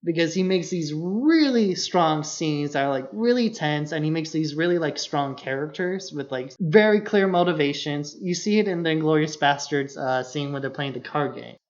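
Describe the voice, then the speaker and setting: A man's voice: a little high, moderate pace, few pauses. Casual critical man, slightly lo-fi sound. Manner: analytical, critical, says "like" often. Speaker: podcast co-host. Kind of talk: casual film discussion. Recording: slightly dull lo-fi podcast audio.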